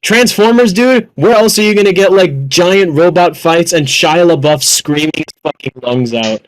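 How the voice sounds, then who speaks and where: A man's voice: high voice, animated, moderate pace, rough recording. A man telling anecdotes in a casual podcast chat, slangy and conversational with some swearing. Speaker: podcast guest.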